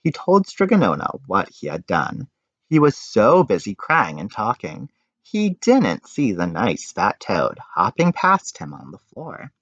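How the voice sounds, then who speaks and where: A man reading a storybook aloud to children in an expressive narrating style. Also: lowish voice, measured pace, no room sound, lo-fi sound. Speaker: storybook reader.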